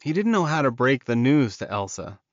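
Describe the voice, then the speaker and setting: A man's voice: medium pitch, big pitch swings, normal speed, dry recording, highs cut off. A man reading scripted fantasy romance as an audiobook narrator.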